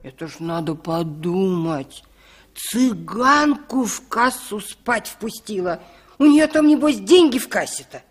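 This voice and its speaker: Careworn old village widow. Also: female voice, medium pitch, expressive, a little slow. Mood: suspicious, fretful. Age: older. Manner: plain-spoken, folksy, grumbling. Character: suspicious, careworn village widow of sixty-three. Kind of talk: 1960s Soviet radio drama acting.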